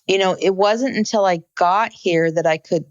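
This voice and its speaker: A woman's voice: on the low side, normal speed, no room sound. A woman co-hosting a casual podcast, earnestly explaining background and retelling what she saw. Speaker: podcast co-host. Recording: dull, dry, slightly lo-fi mic.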